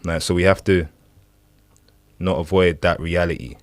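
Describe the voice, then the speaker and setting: Man from Uganda, very low voice, dry sound, emphatic, keeps asking "right?". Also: steady tone, normal speed, no echo. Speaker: podcast co-host. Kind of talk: discussion.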